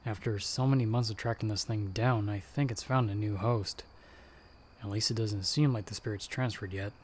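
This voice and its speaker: A man playing a stern, sardonic monster hunter who guides the listener in hushed, urgent tones in an ASMR audio roleplay. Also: fairly low pitch, steady tone, normal speed, slight background noise, lightly acted. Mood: grim, frustrated. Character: gruff, sardonic male monster hunter, a disembodied guiding voice.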